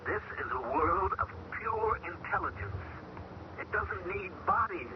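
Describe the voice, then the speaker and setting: An actor playing a man taken over by an alien mind, who talks calmly and measures his words in an old radio drama. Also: high voice. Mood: eerie. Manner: calm, measured, searching for words. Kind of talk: 1970s radio drama acting. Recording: dull old radio broadcast with loud hiss.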